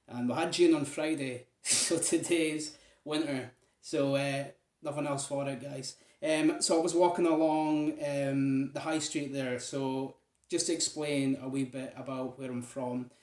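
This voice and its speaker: A man's voice: medium pitch, fairly even intonation, a little slow, darkish tone. Man, Scottish accent, casual vlog monologue, rambling, echoey room. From Aberdeen, Scotland. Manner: chatty, rambling, many fillers. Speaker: vlogger.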